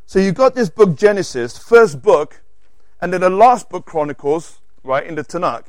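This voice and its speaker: A man with an accent leads a sermon, reading passages aloud with a lively, swinging delivery. His voice sits a little high, and the sound is dry and close with no room echo. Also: moderate pace. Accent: non-native English. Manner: animated, reads scripture aloud, self-correcting asides. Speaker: preacher. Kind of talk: sermon teaching from scripture.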